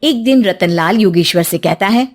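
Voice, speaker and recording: A woman's voice: medium pitch, lively intonation, normal speed. A woman narrating a scripted story as its storyteller.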